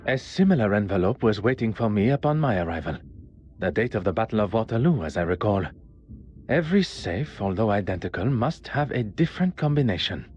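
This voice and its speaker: Muffled, pensive detective. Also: male voice, lowish voice, sing-song, darkish tone, soft background music. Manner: deliberate, formal reasoning. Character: pensive male detective reasoning to himself, methodical, self-assured. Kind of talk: inner monologue in a mystery game.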